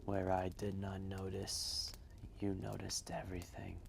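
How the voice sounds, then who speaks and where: An ancient dragon, voiced by a man, speaks low and very slowly in a deep crackling growl. He is wise, gentle and fatherly, murmuring his tale in intimate, hushed roleplay storytelling. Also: monotone, full character voice. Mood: gentle, reassuring. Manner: hushed, breathy, measured, with long pauses.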